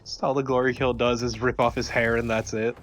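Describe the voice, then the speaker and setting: Medium-pitched man bantering over game music. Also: level tone, a little fast. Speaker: let's play co-commentator. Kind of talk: casual banter over gameplay.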